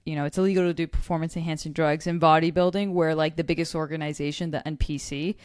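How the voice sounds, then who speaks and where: A young woman with a fairly low voice talks casually on a fitness podcast, full of "like" and little acted-out quotes of other people. The sound is close and dry, with no room around it. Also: fairly even intonation, quick pace, darkish tone, clean tone, dry recording. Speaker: podcast co-host. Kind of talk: casual chat.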